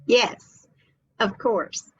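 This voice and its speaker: A woman's voice: drawn-out words, a bit bright, no echo, muffled recording. A woman from Memphis, a guest talking earnestly in a casual interview. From Memphis, Tennessee.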